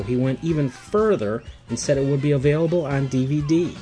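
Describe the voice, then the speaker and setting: A man's voice: mid-range voice, a little mellow. A man hosting a podcast, talking casually with fillers and then reading a prepared roundup. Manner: conversational, hesitant with fillers, reads a prepared roundup. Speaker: podcast host. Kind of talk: casual podcast chat and show recap.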